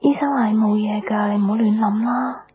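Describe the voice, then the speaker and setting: An actress playing an earnest, emotional young woman in a radio drama, speaking softly with a Hong Kong Cantonese accent. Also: medium pitch, steady tone, a little fast, highs cut off, close to an everyday voice. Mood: tender, concerned. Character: earnest young woman torn between love and her mother. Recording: music bed behind the voice.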